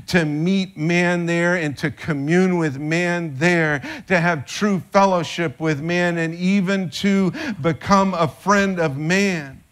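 A man in his fifties preaching a sermon from a church stage, animated and gesturing, his voice rising when excited. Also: fairly high pitch. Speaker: pastor. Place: church stage with band instruments.

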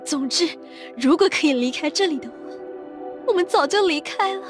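A resigned, soft-hearted young girl, acted by a woman. Her voice sits high, in audio drama style. Faint music runs behind her words. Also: lively intonation, normal speed. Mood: resigned explaining. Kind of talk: amateur audio drama acting.

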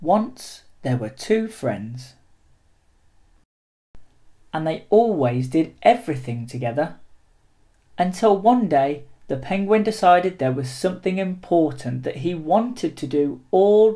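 Slow storyteller reading a kids picture book out loud, deliberate and dramatic, expressive. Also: medium pitch. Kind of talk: expressive children's picture book read-aloud.

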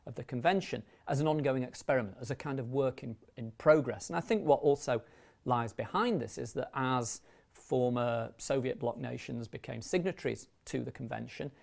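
A man in his thirties giving a formal, measured academic lecture as a university law lecturer, speaking into a lapel mic. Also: mid-range voice, creaky. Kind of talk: academic lecture, partly read from notes. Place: indoor lecture recording room, at a lectern.

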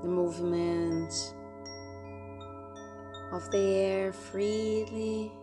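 A woman hosting a guided meditation, speaking gently and unhurriedly, with long pauses between phrases. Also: medium pitch, fairly even intonation. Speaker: meditation guide and podcast host. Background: meditation music with soft chimes.

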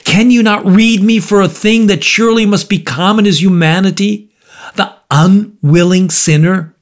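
Storybook murderer, performed by a male narrator: raised pitch, anguished, exclamatory, desperately self-justifying. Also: moderate pace, natural voice. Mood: indignant, pleading. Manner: impassioned, exclamatory, rhetorical. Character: anguished male murderer, defiant, tormented, pleading.

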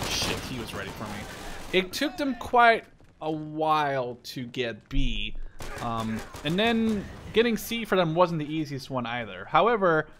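Excitable young man with wildly swinging pitch. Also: slight background noise. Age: 20s. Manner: excitable, swears freely. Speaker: gaming video creator. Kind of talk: casual first-person shooter gameplay commentary. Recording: loud gunfire and explosions behind. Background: war game sound effects, gunfire, machine guns.

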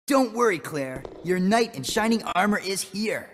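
A man playing a cocky, excitable and defensive teenage boy in a video game cutscene, boastful and boyish. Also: high-pitched, normal speed, long reverb tail, slight background noise. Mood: excited, cocky.

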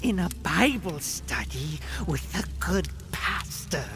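An actor playing a hesitant junior demon in an audio drama, questioning and deferential in manner. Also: male voice, a little high, sing-song, takes it slowly, noisy background, exaggerated voice. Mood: unsure, questioning. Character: junior demon tempter, eager hesitant male underling. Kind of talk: scripted audio drama acting.

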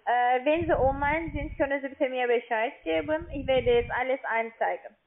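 Female telesales agent, non-native with foreign accent, high voice, halting delivery, phone-line sound. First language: not German. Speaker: call centre account agent selling online trading. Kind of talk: phone sales pitch. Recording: tinny, narrow phone line.

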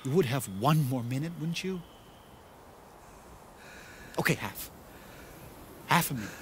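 Persuasive salesman, animated film, theatrical coaxing, lively intonation, slow delivery. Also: mid-range voice, a little hiss or hum. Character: smooth-talking male salesman, eager and persuasive.

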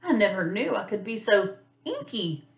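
A woman voicing a playful, easily startled storybook octopus with an expressive, exclaiming delivery. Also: mid-range voice, normal speed, reverberant. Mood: amazed, pleased.

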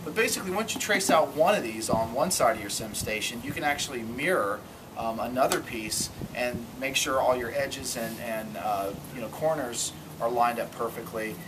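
Middle-aged male DIY host, average pitch, relaxed filler-heavy delivery, recorded outdoors from a distance with a noisy background. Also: moderate pace. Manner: loose and conversational, many ums and uhs. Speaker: DIY cockpit builder host. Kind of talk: casual how-to demonstration. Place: outdoors by a garage door. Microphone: camera mic from a few metres. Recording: distant camera mic, audible ambient noise.

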